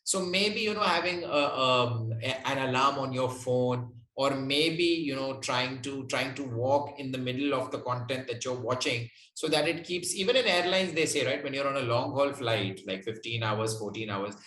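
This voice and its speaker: A man's voice: mid-range voice, moderate pace, hardly any pauses, bright, forceful tone. A man answering interview questions as a guest, explaining conversationally and often checking in with the listener. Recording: roomy, echoey sound.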